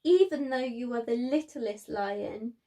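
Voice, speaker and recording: A woman voicing a small, gentle, earnest girl in a children's story. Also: fairly high pitch, measured pace. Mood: grateful.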